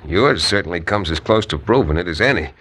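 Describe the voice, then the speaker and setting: A steady western hero. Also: male voice, sits low, fairly quick, husky, full character voice. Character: steady young male frontier hero. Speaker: lead actor. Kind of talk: 1950s radio acting.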